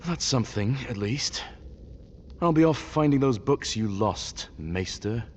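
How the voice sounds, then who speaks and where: An actor playing a terse, determined errand-runner in a video game, direct and wry. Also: male voice, mid-range voice, expressive, measured pace, slight background noise, close to an everyday voice. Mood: businesslike. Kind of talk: video game cutscene acting. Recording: slightly muffled audio.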